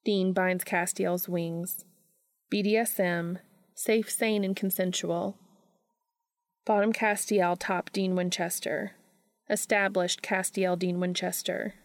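A woman podcast host reads a scripted story in a lowish voice with plenty of fry. The recording is dry and close, with faint music. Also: dry recording. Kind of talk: scripted narration, fan fiction reading.

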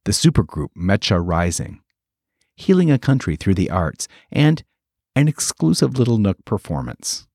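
Guy podcast host, scripted intro, lively swings in pitch, close dry studio sound. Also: normal speed, breathy edge, no room sound.

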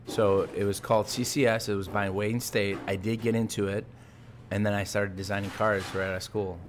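A man's voice: on the low side, a little hiss or hum. A man guesting on a casual podcast, telling his story animatedly and talking with his hands. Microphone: desk microphone.

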